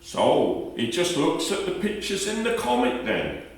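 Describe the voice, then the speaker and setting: A man's voice: on the high side, huge pitch swings, measured pace. A man playing a kindly, courteous neighbour in a radio play. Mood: curious. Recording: echoey room, far from mic.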